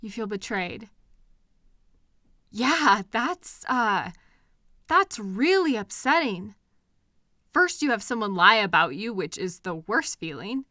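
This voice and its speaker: A woman's voice: mid-range voice, dry recording, studio quality, heavily acted. A woman playing a caring partner in an intimate comfort roleplay, speaking tenderly and expressively straight to the listener. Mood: sympathetic, indignant. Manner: tender, expressive, with soft pauses and questions. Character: caring, gently indignant woman comforting her upset partner. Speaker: audio roleplay performer. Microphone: close microphone in a dry room.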